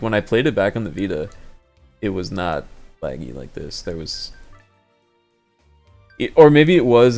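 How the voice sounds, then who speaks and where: A man with a very deep, creaky voice talks casually through gameplay. He wanders from thought to thought, hesitating and working things out aloud. Music plays behind him. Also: a little mellow. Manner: rambling, hesitant, thinking aloud. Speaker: video game showcase host. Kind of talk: casual off-the-cuff gameplay commentary.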